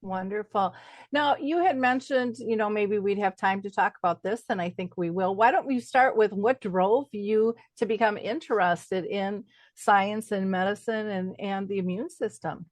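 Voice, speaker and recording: An enthusiastic woman hosts a radio interview, her voice sitting at a middling pitch. She sounds friendly and eager, with casual "uh" fillers. The sound is dry and close, with no room echo. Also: normal speed. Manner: upbeat and enthusiastic. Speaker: host.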